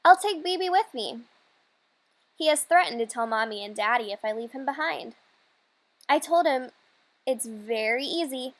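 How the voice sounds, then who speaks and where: A woman reading aloud a children's storybook as a hopeful, dreamy young girl, earnest and measured. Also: high-pitched.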